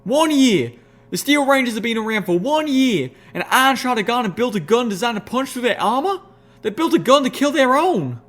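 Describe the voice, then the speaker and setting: A man voicing a fiery, proud country mare with a drawl, shouting in strained, indignant outbursts. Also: fairly high pitch, big pitch swings, brisk, voice pushed up. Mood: furious. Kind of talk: audiobook reading.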